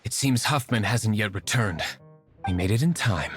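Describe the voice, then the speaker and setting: Aloof young nobleman vigilante, curt, dry, formal, lively pitch movement, game acting, music behind. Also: normal speed. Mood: businesslike. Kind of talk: video game character acting.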